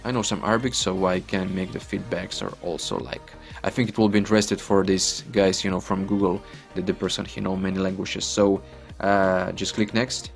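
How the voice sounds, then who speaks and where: A man's voice: low voice, steady tone, moderate pace, gravelly, faint music behind. A man in his twenties from Ukraine with a Ukrainian accent, speaking English as a second language in a chatty, repetitive walkthrough that keeps calling out to "guys". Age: 20s. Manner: non-native English, chatty and repetitive, often says "guys". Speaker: online earning vlogger. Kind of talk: casual screen-recorded walkthrough.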